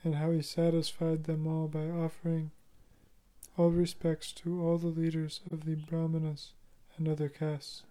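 A voice reading listener comments aloud in an even, measured way. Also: mid-range voice.

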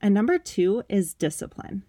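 A woman's voice: mid-range voice, sing-song, moderate pace, dark tone, no room sound. Upbeat motivational woman in her thirties. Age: 30s. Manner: upbeat, emphatic, conversational. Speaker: podcast host. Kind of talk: motivational solo podcast talk.

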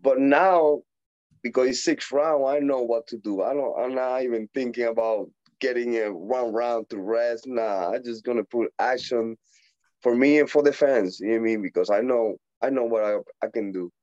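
A man in his forties from Puerto Rico, with a Puerto Rican Spanish accent, speaking as a guest in a casual interview, animated, laughing often, with halting English. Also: medium pitch, dry recording, highs cut off. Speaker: boxer guest.

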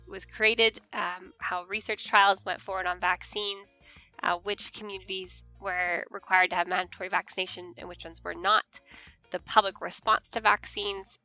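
A woman's voice: on the low side, gravelly, bright, forceful tone, rough recording. A young Canadian woman with a Canadian accent, chatting casually and earnestly about her academic research. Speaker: history researcher, co-host.

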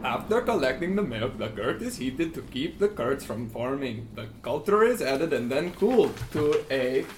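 A man's voice: medium pitch, very animated, normal speed, hardly any pauses, noisy background, natural voice. A man playing an earnest, formal yogurt maker pleading his case in a shaky attempt at a Swedish accent.

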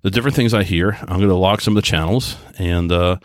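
A man's voice: deep voice, quick pace, dry recording, clean studio sound. An American man from New York hosting a casual solo podcast, talking in a rambling, folksy, enthusiastic way about his hobby. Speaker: podcast host and radio hobbyist.

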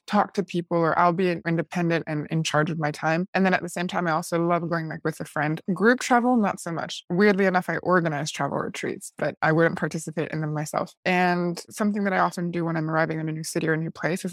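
A woman's voice: fairly low pitch, steady tone, fast, hardly any pauses, dry recording. Chatty young woman, dry close mic. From United States. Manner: chatty, curious, frequent "like" fillers. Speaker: guest. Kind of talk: casual interview conversation.

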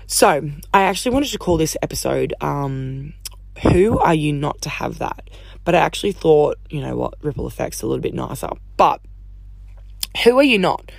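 A woman hosting a casual solo podcast, chatty and upbeat, swearing casually, her voice croaky from talking all day. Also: deep voice, sing-song, normal speed, no echo. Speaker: podcast host.

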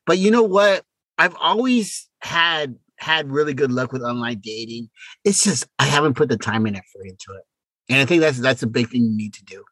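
Bright, forceful-voiced man chatting casually. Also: medium pitch, moderate pace, no room sound, rough recording. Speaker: podcast co-host. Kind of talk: casual conversational chat.

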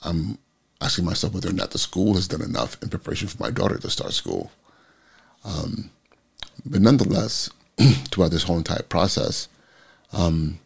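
A man in his forties, a school principal, with a raspy voice, talking through a reflective morning vlog full of ums. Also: sits low. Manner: rambling, reflective, many ums.

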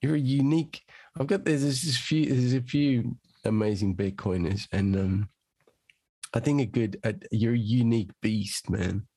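Husky, enthusiastic man. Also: mid-range voice, moderate pace, mellow, no echo. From Australia. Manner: enthusiastic, says "man" and "dude" often. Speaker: guest musician. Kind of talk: casual conversation.

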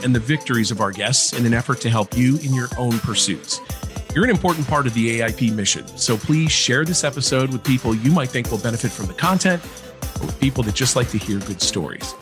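A man hosting a podcast, reading a scripted intro and asking questions haltingly with fillers. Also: mid-range voice. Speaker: podcast host.

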